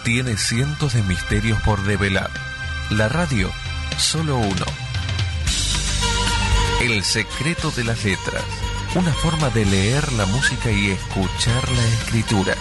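A man reading a scripted radio promo as announcer, in a dramatic, deliberate manner. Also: lowish voice. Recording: loud music bed under voice.

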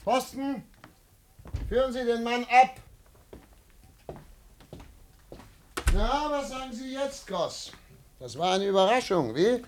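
An actor playing a skeptical, commanding American investigator who also narrates, in 1950s German radio play style. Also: male voice, extremely high pitch, animated, unhurried, a little hiss or hum. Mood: commanding, scornful. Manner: clipped, sardonic, commanding. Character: brusque, skeptical American investigator narrating his own story.

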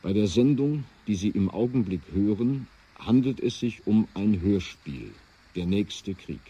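A man makes a calm, formal radio announcement. His voice is very dark and husky, and the broadcast sounds a little dull. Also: fairly low pitch, measured pace. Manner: calm, explanatory. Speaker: radio drama editor and presenter.

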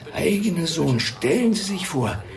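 An actor playing a chatty, secretive pensioner in his sixties in a radio drama, halting and confiding. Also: on the high side, big pitch swings. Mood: confiding, sorrowful. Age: 60s. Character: chatty, secretive old pensioner, gossipy neighbour. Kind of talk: naturalistic radio drama dialogue.

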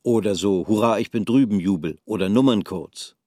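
Low-voiced man narrating a radio drama. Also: mellow, no room sound, clean studio sound. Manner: measured storytelling.

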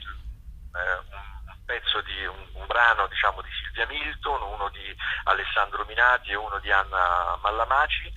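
A man giving explanatory interview answers as a theatre director, hesitant and searching for words, with a husky voice. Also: on the low side, pushed and bright, low-quality recording. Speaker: theatre director and author interviewed about a play.

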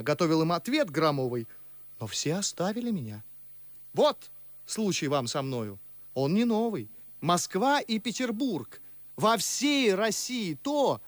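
A high, swooping voice as an indignant young gentleman in a radio play. He declaims verse with sarcasm and passion, lingering over his words in a dry, close recording. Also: no room sound, heavily acted. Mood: bitter, heated. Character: indignant, eloquent young gentleman railing at imitation of foreigners.

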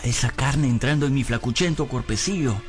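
A man's voice: mid-range voice, a little fast, natural voice. An actor playing a poor, hungry servant boy who tells his misfortunes in a plaintive, theatrical way for a radio drama. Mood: rueful storytelling. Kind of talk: dramatic first-person radio narration. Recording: music bed behind voice.

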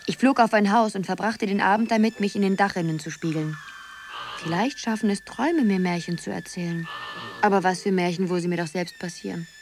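A woman's voice: mid-range voice, natural voice. An actress as a dreamy young woman, noisy recording. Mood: dreamy, wistful. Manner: musing, halting, wistful. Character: Zoe, dreamy young woman drifting through a surreal world. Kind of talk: poetic radio drama monologue.

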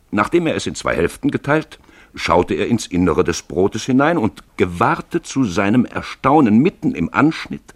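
A man giving a deliberate, theatrical literary narration for a radio play. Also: low voice, fairly bright tone, slightly rough, no room sound.

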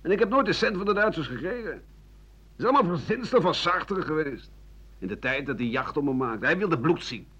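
An actor playing a bitter old French doctor-writer in a radio play, rambling through a sardonic, coarse, self-justifying monologue in a husky voice. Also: male voice, fairly high pitch, sing-song, a little fast, bright, forceful tone, heavily acted. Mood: defensive, indignant. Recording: dull lo-fi 1980 radio recording.

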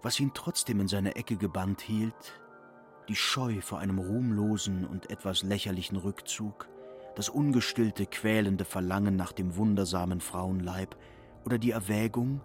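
Male narrator, low pitch, scripted literary storytelling, radio play, music behind. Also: steady tone, normal speed.

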